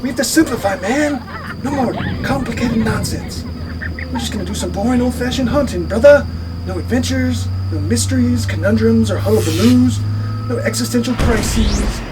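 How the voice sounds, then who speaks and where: Man voicing a high-pitched melodramatic male ghost. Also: moderate pace, noisy background. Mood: scheming, enthusiastic. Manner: grandiose, melodramatic storytelling. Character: theatrical, self-dramatizing male ghost, burnt at the stake. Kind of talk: comedic puppet show acting. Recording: music under the voice.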